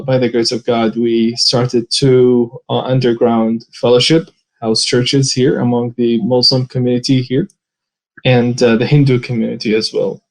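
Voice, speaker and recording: A man's voice: medium pitch, low-quality recording. A man, from Arabia, telling his story as an interview guest with many hesitant fillers. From Saudi Arabia. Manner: frequent hesitant fillers, soft laughs. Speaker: guest, immigrant ministry worker. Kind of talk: personal testimony in interview.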